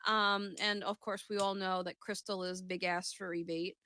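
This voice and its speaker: A woman's voice: mid-range voice, level tone, moderate pace, pushed and bright, no room sound, clean studio sound. A woman co-hosting a casual gaming chat show, talking in a rambling, sweary way and often interrupting herself.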